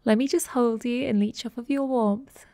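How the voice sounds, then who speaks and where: A woman's voice: a little high, steady tone, normal speed, mellow, no room sound, lightly acted. A woman playing a playfully dominant, affectionate vampire girlfriend in an intimate ASMR roleplay, cooing and teasing, often dropping toward a whisper.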